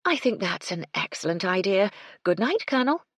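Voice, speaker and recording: A woman voicing a composed, polite female hotel owner with a prim, measured manner, in an audiobook. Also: mid-range voice, very animated, fairly bright tone, husky, no echo, clean studio sound, voice pushed up. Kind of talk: audiobook character voice.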